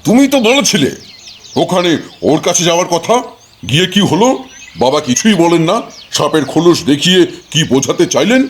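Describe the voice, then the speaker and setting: Man playing a testy, high-voiced old village father. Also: lively intonation, fairly quick, a little hiss or hum, close to an everyday voice. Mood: irritated scolding. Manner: scolding, exasperated, fussy. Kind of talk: Bengali folk-tale cartoon acting.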